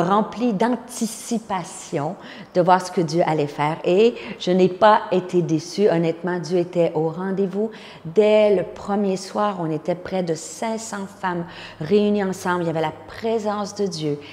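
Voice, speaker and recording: A Québécois woman in her fifties speaks as a pastor, giving her personal testimony with earnest conviction and the odd filler. Her voice sits a little low, and a long reverb trails behind her words. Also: normal speed, a little mellow. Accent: Québécois French. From Quebec, Canada.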